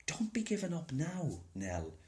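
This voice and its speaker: A man's voice: fairly high pitch, wildly swooping pitch, measured pace, a little mellow, pitched above the performer's own voice. A man voicing a small, plucky, proud boy in a storybook, earnest and determined. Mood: weary but urging. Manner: earnest, folksy phrasing.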